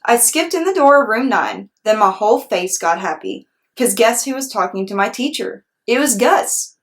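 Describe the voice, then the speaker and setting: A woman narrating a children's storybook as a read-aloud storyteller, animated and clearly phrased for young listeners. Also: a little high, moderate pace.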